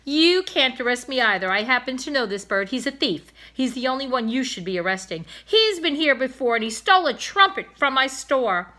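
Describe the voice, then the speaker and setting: A woman's voice: high-pitched, expressive, a little fast, few pauses, bright, forceful tone, pitched above the performer's own voice. A woman reading a storybook, voicing a stubborn, angry male storekeeper who argues defensively.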